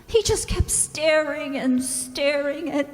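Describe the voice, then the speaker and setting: Woman acting a high-voiced, distraught young wife. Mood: anguished. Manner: halting, anxious, breaking off mid-sentence. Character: distraught young wife pleading for her sick husband. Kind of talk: old-time radio drama acting. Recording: roomy hall with long reverb.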